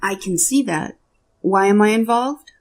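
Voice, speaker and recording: A woman's voice: mid-range voice, unhurried, darkish tone, lightly acted. A woman voicing a mischievous, bossy boy prince with an accusing, questioning manner, in an audio drama. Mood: stern, wry.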